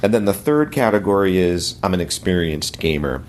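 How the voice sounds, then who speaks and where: A hoarse middle-aged man from the United States, rambling in a friendly way as a podcast regular and sharing tips. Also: deep voice, very animated, moderate pace, a little mellow, a little hiss or hum. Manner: rambling, friendly, self-correcting. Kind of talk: casual podcast segment.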